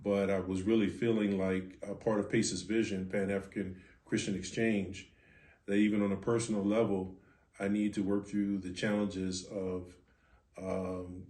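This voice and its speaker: A man in his fifties from Philadelphia with an American accent, telling his story as a podcast guest in a measured, reflective way with deliberate pauses. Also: sits low, darkish tone. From Philadelphia, United States. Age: 50s. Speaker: podcast guest, board president of a ministry. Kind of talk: interview answers, personal storytelling. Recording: echoey, reverberant room.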